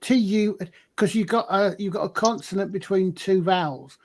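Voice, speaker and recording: A man's voice: high voice, moderate pace. A Scottish man on a casual panel, hesitant and repeating words as he thinks aloud. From Scotland.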